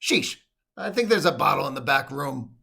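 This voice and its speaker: An actor playing a sardonic, wisecracking saloon bartender in old-time radio style. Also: male voice, on the high side, big pitch swings, normal speed, creaky, bright, forceful tone, slightly rough, exaggerated voice. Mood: wry.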